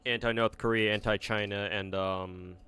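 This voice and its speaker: A young man with an American accent talks through a gaming livestream in a loose, rambling way. He restarts his sentences and swears casually. His voice sits slightly low and comes across clean and smooth. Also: steady tone, moderate pace, bright, forceful tone. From United States. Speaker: streamer.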